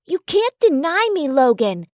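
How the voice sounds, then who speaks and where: A woman acts out a flirtatious, clingy rival from a romance story. The voice is squeaky and very high, swooping about in pitch. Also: very animated, unhurried, no room sound, studio quality. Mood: seductive.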